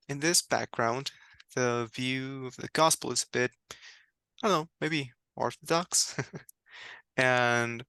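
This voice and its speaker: A man from Mexico, a native Spanish speaker with a Mexican accent, answering interview questions in a soft-spoken, reserved way. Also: medium pitch, clean tone, dry recording. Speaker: guest, YouTuber.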